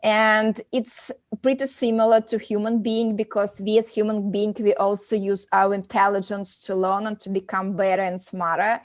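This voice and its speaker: A woman's voice: mid-range voice, little pitch movement, normal speed, few pauses. A woman from Russia with a Russian accent, answering as an interview guest in a conversational way, often repeating and rephrasing as she explains. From Moscow, Russia. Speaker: interview guest, company founder and doctor.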